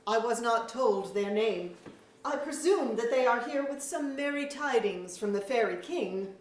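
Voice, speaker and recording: A proud court chamberlain acted by a woman in a period-style play, high in voice and slow in delivery. The speech is emphatic, witty and formal, caught from a distance in an echoey auditorium. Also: animated. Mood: courtly, measured. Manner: rhetorical, emphatic, archaic formal diction. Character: proud, witty Lord Chamberlain of a fantasy court, loyal, scolding. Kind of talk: staged reading of mock-Shakespearean verse drama. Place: museum auditorium.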